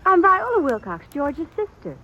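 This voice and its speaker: A woman's voice: very high-pitched, very animated, normal speed, muffled recording. An actress playing a headstrong, polite young ranch woman in a radio western, self-possessed and folksy. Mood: bright, coy. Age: young adult. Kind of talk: 1950s radio western acting.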